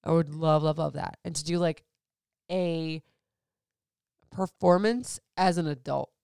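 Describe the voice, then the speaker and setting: A woman's voice: low-pitched, steady tone, unhurried, creaky, a little mellow, clean tone, no echo, studio quality. A woman in her thirties from Florida, chatting candidly as a host answering listener questions, rambling with lots of "like"s. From Florida, USA. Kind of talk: casual chat.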